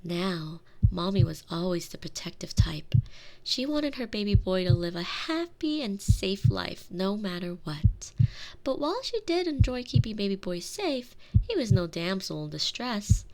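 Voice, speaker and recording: A woman's voice: mid-range voice, sing-song, darkish tone, poor audio. Woman acting as a soothing, tender girlfriend, ASMR bedtime roleplay. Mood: gentle storytelling. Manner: soothing, breathy near-whispers, lingering drawn-out phrases. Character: tender, protective girlfriend soothing her frightened lover to sleep. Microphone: close binaural microphone.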